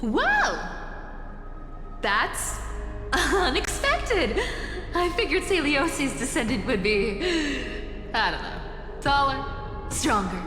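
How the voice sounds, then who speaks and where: A woman's voice: sits high, big pitch swings, takes it slowly, long pauses, exaggerated voice. A woman voicing a sly, breezy, worldly wanderer in a video game, with a teasing drawl and chuckles in her delivery. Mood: amused. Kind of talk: English video game voice acting. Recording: echoey hall reverb under music.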